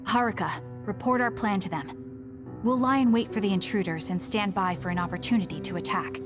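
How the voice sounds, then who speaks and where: A woman's voice: medium pitch, a little fast, close to an everyday voice. A young woman playing a determined, guarded squad leader in an anime-style game dub, speaking firmly and tersely. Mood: decisive. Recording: loud music behind.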